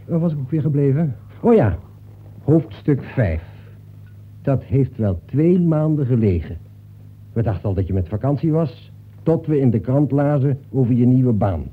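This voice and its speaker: An actor in a Dutch radio play, playing a talkative, breezy detective from a novel who nags in a familiar way. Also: male voice, mid-range voice, expressive, normal speed, husky. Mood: indignant. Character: talkative fictional detective come to life, chummy, reproachful. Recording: muffled phone-like old radio recording.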